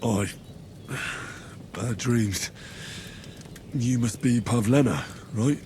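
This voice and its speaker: A man's voice: medium pitch, very slow, warm tone, a little hiss or hum. A man playing an earnest, plain-spoken young medieval hero in a fantasy video game. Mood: groggy, relieved. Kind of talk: dramatic video game acting.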